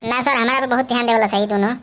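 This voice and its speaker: A woman's voice: on the high side, steady tone, rapid-fire, lightly acted. A woman voicing a sassy, complaining school pupil with a Bhojpuri accent, quarrelsome and tattling, in a cartoon comedy. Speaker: voice actor. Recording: very noisy background with clicks.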